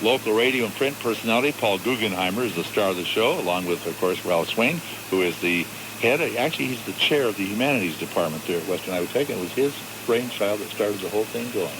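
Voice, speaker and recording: Low-voiced older radio host, very noisy recording. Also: male voice, expressive, fast talker, hardly any pauses. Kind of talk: live radio show introduction and chat. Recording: very noisy live radio broadcast.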